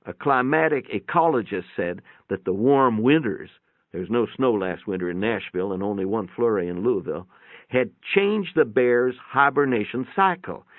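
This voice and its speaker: A man's voice: medium pitch, expressive, normal speed, no echo, narrow, tinny audio. A man narrating a first-person short story in a dramatic reading, with a conversational, folksy storytelling manner. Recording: thin, band-limited, radio-like sound.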